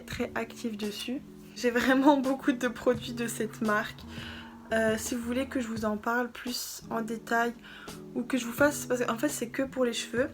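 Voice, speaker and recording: A young woman talks through her beauty haul in a chatty, gushing way, her voice sitting a little high. Clear background music plays under her casual vlog chat. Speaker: beauty vlogger. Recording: music playing behind.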